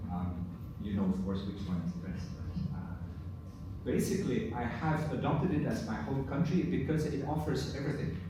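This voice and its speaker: A middle-aged man from Belgium whose first language is Dutch, giving a relaxed conference presentation with many fillers. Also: lowish voice. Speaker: university professor. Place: large conference hall. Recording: echoey hall from far off, noisy.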